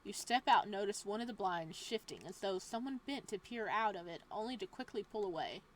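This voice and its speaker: A woman's voice: medium pitch, animated, brisk, slight background noise, rough recording. A woman reading a horror visual novel aloud, breaking off for playful, joking asides. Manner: playful asides between narration. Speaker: game streamer.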